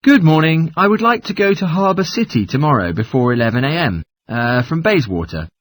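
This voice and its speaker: A man's voice: on the high side, sing-song, fairly quick, no echo. A man playing a polite caller asking for travel information in a scripted language-test dialogue. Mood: polite, inquiring.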